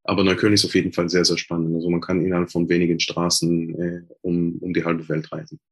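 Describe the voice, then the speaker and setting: A man's voice: sits low, monotone, fast. A man from Israel, a Hebrew speaker, talking hesitantly as an interview guest with many filler sounds and restarts. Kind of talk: casual interview talk.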